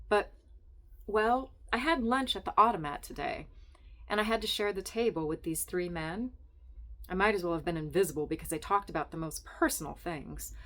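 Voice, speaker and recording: A woman's voice: on the low side, lightly acted. A woman reading aloud and voicing a tense, tired young office typist who talks in a curt, clipped way.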